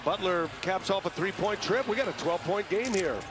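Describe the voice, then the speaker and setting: A man's voice: high-pitched, lively intonation, fairly quick, over loud music. A man calling a basketball game live as play-by-play commentator. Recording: loud arena noise and ball bounces.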